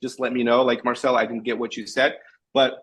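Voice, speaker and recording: A man's voice: mid-range voice, flat delivery, a little fast, fairly bright tone, breathy edge. A Brazilian man whose first language is Portuguese, talking earnestly and plainly in English on a casual video call and often correcting himself. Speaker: guest presenting a sales case.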